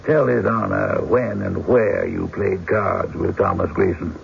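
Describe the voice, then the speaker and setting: Gravelly prosecutor, official and probing, muffled old radio play. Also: male voice, low-pitched, fairly even intonation, unhurried, slight background noise, full character voice. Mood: formal, probing. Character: formal, probing courtroom prosecutor. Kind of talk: 1970s radio drama acting.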